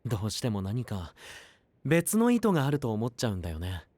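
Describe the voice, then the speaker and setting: A man playing a friendly but shrewd, composed young knight in an anime voice drama, speaking softly and politely. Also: on the low side, huge pitch swings, a little fast, no room sound. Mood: probing. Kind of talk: Japanese anime voice drama acting.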